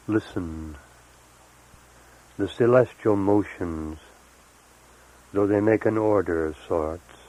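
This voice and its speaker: A man gives a poetry reading in a very deep voice. His pace is very slow, and he sets each phrase apart with deliberate pauses. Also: a little mellow, poor audio. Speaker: poet. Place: live recorded reading, 1978.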